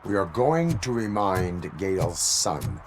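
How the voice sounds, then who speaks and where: An actor playing a stoic, grave cyborg policeman in a video game, with stiff, formal, deliberate delivery. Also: low-pitched, sing-song, a little hiss or hum, heavily acted. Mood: stern, resolute.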